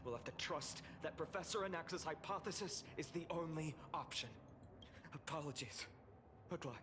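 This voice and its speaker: A man's voice: a little high, moderate pace, noisy background, natural voice. A man voicing a resolute, earnest warrior in a video game, urgent and duty-bound. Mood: grave, urgent.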